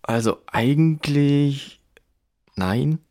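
A man's voice: on the high side, darkish tone. A man playing an evasive, sheepish young intruder in a children's audio drama, speaking hesitantly. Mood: reluctant. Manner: hesitant, halting.

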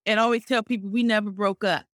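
A middle-aged woman co-hosting a casual podcast, expressive and animated, speaking warmly about faith and community. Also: mid-range voice, fast, no echo, studio quality. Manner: warm, expressive, talks about faith and blessings; frequent fillers and restarts, animated storytelling. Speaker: podcast co-host.

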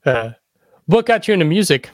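A man with a slightly high voice works as a podcast host. He talks casually and directly, in the style of an interview show. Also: very animated, brisk. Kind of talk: podcast interview with scripted-sounding intro.

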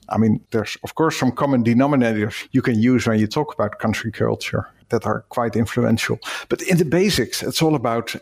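Dutch-accented man, gravelly and husky. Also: medium pitch. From Netherlands. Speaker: cross-cultural consultant. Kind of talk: podcast interview.

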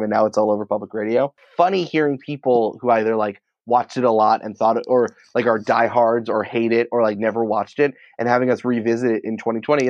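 A man talking casually as an interview guest, in an excitable, rambling, informal way peppered with filler words. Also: mid-range voice, no echo, highs cut off. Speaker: podcast writer and producer, interview guest.

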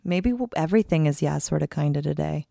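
A woman co-hosting a casual chat, sick with a husky voice, playful and teasing. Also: low-pitched, animated, fast talker, dark tone, no room sound, clean studio sound. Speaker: podcast co-host.

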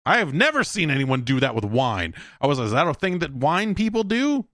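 A man's voice: on the high side, big pitch swings, brisk, fairly bright tone, no echo. A man in his late forties hosting a podcast alone, rambling casually with frequent swearing and self-deprecating comic asides, close on the microphone. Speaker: podcast host.

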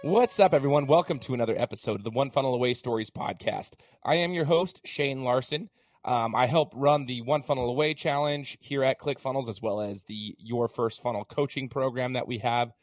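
A man hosting a podcast interview in a casual, chatty way, hoarse as he gets over an illness. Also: mid-range voice, brisk. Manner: chatty, many fillers and restarts. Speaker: podcast host.

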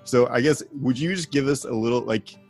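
A man's voice: medium pitch, brisk, loud music behind the voice. A man hosting a podcast as a pastor, chatting casually, laughing often and speaking loosely with fillers. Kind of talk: casual chat. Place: remote call.

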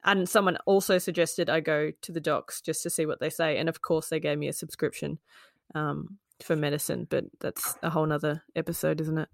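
A woman, co-hosting a casual chat in an animated way, swearing and laughing freely. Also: deep voice, fairly quick, creaky, no room sound, clean studio sound. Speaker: podcast co-host.

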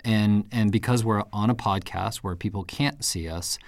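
Low-ish voiced guy hosting a podcast, reads his intro then asks questions kinda hesitantly, dry close sound. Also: moderate pace, no echo. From Japan. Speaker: podcast host. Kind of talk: podcast interview.

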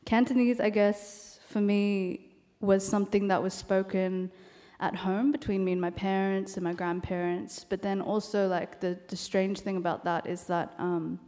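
A woman from Australia speaks in an unhurried, thoughtful way, often pausing with soft fillers. Her voice is mellow and dark-toned. The sound carries a long reverb from a large hall. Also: medium pitch, flat delivery. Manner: soft, thoughtful, many ums. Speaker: novelist. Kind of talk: panel discussion and reading from her novel.

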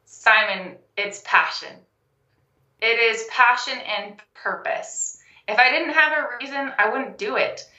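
A young adult woman, a health coach and guest, telling her story in a casual interview, animated and laughing often. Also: on the high side, measured pace, roomy sound, lo-fi sound. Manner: animated, laughs often, acts out quoted phone calls.

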